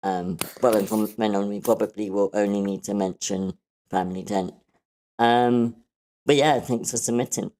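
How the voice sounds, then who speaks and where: A man giving casual, constructive feedback, politely softening his criticism. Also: fairly low pitch, steady tone, moderate pace. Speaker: participant giving script feedback.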